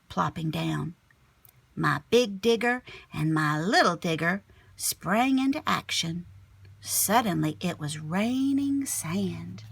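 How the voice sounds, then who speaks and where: A woman's voice: on the low side, gravelly, fairly bright tone, husky. A woman teacher reading a picture book aloud expressively, with chatty asides aimed at young children. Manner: addresses young listeners with simple questions and asides.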